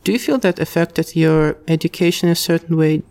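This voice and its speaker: A man hosting a podcast interview, speaking with careful, slightly halting phrasing. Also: fairly high pitch, darkish tone, clear, resonant tone, dry recording. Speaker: podcast host. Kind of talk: casual interview.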